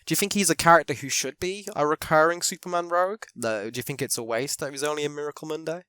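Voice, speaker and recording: A man's voice: a little high, quick pace, sharp tone, clear, resonant tone, no echo, studio quality. A man hosting a podcast interview in a chatty way, laughing often and using lots of fillers. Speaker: podcast host. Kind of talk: casual interview chat.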